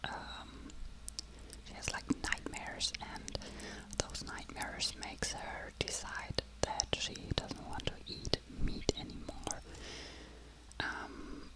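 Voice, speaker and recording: Casting: ASMR book reviewer, soft breathy whisper throughout, unhurried and hesitant, feeling for words. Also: poor audio. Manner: halting, searching for words in a non-native language.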